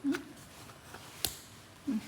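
A woman chatting casually with a small child, coaxing with short, repeated prompts. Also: very slow. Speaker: family member filming a young child. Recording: roomy echo, faint background noise.

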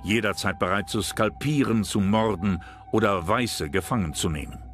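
Guy narrating a documentary, low voice, music playing behind him. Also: moderate pace. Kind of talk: scripted narration.

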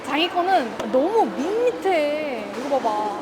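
A woman's voice: high-pitched, big pitch swings, slow. A woman chatting casually as a vlog co-host, with a playful, bantering manner. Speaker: vlog co-host, wife in a couple channel. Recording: busy noisy urban public places.

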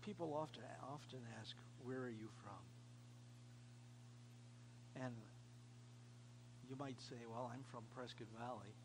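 An American man in his fifties from New York, a pastor giving an earnest, emphatic sermon with repeated phrases and pauses. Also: medium pitch. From New York, United States. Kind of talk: sermon to a small congregation. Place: indoors, cafeteria used as a church hall. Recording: clearly noisy room recording with hum.

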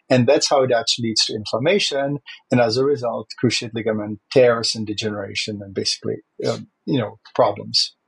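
Husky-voiced man. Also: medium pitch, low-quality recording. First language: Czech. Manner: thoughtful, with frequent fillers and restarts. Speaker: host. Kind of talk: conversational interview.

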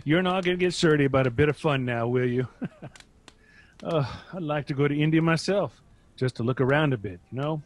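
A man's voice: on the high side, a little fast, natural voice. An actor playing a genial, curious older father coaxing his old friend in a chummy way, in a radio drama. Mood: jovial, coaxing.

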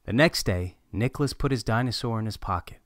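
A man narrating a children's picture book aloud as a read-aloud host, in an animated, expressive storytelling manner. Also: fairly low pitch, brisk, no echo, studio quality.

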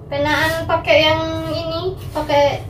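A young woman chatting casually to viewers in a halting, self-correcting way, often asking them questions. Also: very high-pitched, little pitch movement. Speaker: vlogger. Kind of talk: casual vlog chat, testing a microphone. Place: indoors, hard-walled rooms at home. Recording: echoey room, distant mic, clear background noise.

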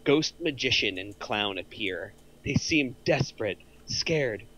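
A man's voice: mid-range voice, animated, slow pace, sharp tone, low-quality recording. A middle-aged man reading out screenplay action lines as a narrator. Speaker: narrator of a script table read.

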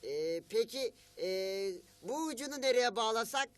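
High, halting cartoon youngster. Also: male voice, animated, clear, resonant tone. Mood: hesitant, eager. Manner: hesitant, halting, musing aloud. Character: hesitant, eager young cartoon character playing at camping. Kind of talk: children's cartoon voice acting.

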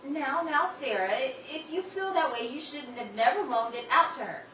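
Actress doing protective sensible sister in old radio drama, highish voice, emphatic, far from the mic. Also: female voice, animated, moderate pace, bright, forceful tone. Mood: firm. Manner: motherly, emphatic. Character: Emily, sensible, protective young working sister, firm peacemaker. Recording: roomy, distant mic, noisy.

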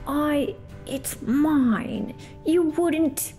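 A bratty dragon hoarding gold, whiny and petulant, his voice rising shrill when he is denied, as a woman puts him on. His tone stays mellow underneath the pouting. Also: drawn-out words, soft background music. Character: spoiled greedy male dragon.

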